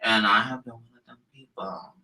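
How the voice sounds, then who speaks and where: A man co-hosting a talk show, slightly nasal and congested from a recent illness, talking in an animated, chatty way. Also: lowish voice, a little fast. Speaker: co-host, drag performer. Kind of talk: casual chat. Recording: roomy echo.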